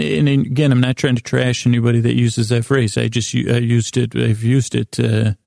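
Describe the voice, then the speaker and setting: A man with a creaky, gravelly voice hosting a sleep podcast, meandering and halting as he talks, often stammering and repeating his words. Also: mid-range voice, a little mellow, dry recording, clean studio sound. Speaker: podcast host. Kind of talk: rambling sleep podcast monologue.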